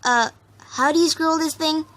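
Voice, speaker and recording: A woman's voice: sits high, moderate pace, fairly bright tone, pitched above the performer's own voice. A woman voicing an impatient, cocky female pegasus pony in a dramatic story reading. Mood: confident, dismissive.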